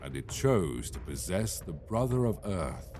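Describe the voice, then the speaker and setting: A man's voice: deep voice, sing-song, unhurried, background noise. A man playing a solemn, stately elder of a powerful people in a fantasy audio drama. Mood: grave. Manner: grave, stately. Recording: music behind the voice.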